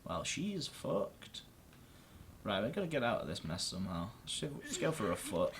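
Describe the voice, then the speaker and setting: A man's voice: on the low side, wildly swooping pitch, moderate pace, crowd noise. A man giving casual, offhand let's play commentary, muttering to himself and swearing now and then. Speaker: gamer, let's play host.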